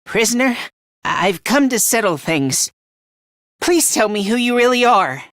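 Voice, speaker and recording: A man's voice: very high voice, very animated, measured pace, fairly bright tone, dry recording, clean studio sound, full character voice. A man voicing a timid, self-loathing young male academy fighter in a video game, hesitant and prone to stammering. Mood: earnest, pleading.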